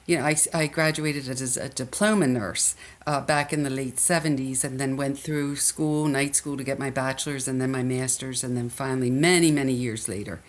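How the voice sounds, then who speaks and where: A woman's voice: very deep voice, fairly even intonation, normal speed. An older woman from the Philadelphia area with a Philly accent, speaking reflectively as an interview guest with frequent restarts. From Philadelphia area, USA. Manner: reflective, many restarts and fillers. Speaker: guest, nurse educator. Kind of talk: interview conversation.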